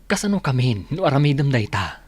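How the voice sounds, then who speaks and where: A husky, aggrieved husband. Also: male voice, medium pitch, big pitch swings, fairly quick, no echo. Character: aggrieved husband pleading with wife. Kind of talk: radio drama acting.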